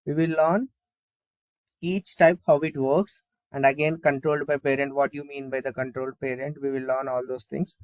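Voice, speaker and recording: A man giving an informal step-by-step software tutorial, often asking and answering his own questions as he explains. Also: mid-range voice, little pitch movement, normal speed, clear, resonant tone, dry recording, lo-fi sound. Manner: repetitive explaining with rhetorical questions.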